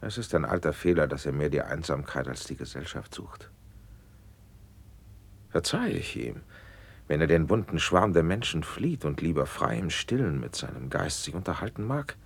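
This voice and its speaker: A commanding Renaissance prince in a radio drama. His low voice swings widely in pitch as he declaims stately verse, impatient and rhetorical, with a husky grain in a dry studio. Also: big pitch swings, normal speed, heavily acted. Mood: puzzled, reproachful. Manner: stately, elevated, rhetorical delivery. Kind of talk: classic German radio play, declaimed stage verse.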